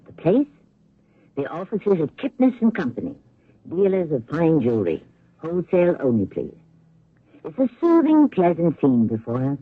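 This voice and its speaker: Husky, theatrical male radio narrator. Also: on the high side, huge pitch swings, dry recording. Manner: dramatic, deliberate phrasing. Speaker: host and narrator. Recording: narrow-band old radio recording.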